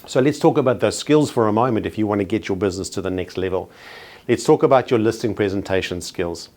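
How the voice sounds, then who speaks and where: A man's voice: lowish voice, a little mellow. Emphatic South African man coaching agents. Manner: emphatic, punchy paired contrasts, slows on key words. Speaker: real estate sales trainer. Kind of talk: motivational training talk.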